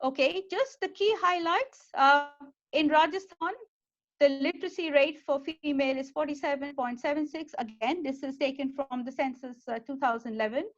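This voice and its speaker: Indian-accented woman academic presenting her fieldwork over a video call; high-pitched, searches for words and corrects herself, muffled lo-fi sound. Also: normal speed. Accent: Indian English. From West Bengal, India. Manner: fillers and self-corrections, explanatory. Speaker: academic speaker. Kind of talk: webinar presentation.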